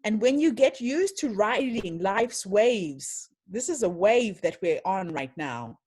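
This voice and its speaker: A woman's voice: medium pitch, expressive, slow pace, darkish tone. A woman giving an online lecture and answering questions in an enthusiastic, storytelling manner over a video call from her home. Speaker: speaker.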